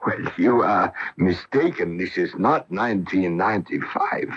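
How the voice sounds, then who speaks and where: An ancient man of a distant future in a 1980s radio drama. He is calm and courteous, with a lowish pitch and very slow, formal delivery. The broadcast sounds dull and narrow. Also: breathy edge, full character voice. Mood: calm, knowing. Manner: measured, formal, slightly foreign phrasing.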